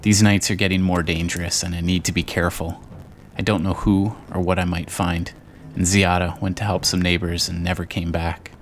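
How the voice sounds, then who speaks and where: A man playing a devoted, weary father in a besieged city, speaking a journal to a daughter in a grave, tender, measured way. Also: low-pitched, level tone, a little hiss or hum, lightly acted. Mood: grave, worried. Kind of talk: dramatized journal narration addressed to his daughter. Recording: music under the voice.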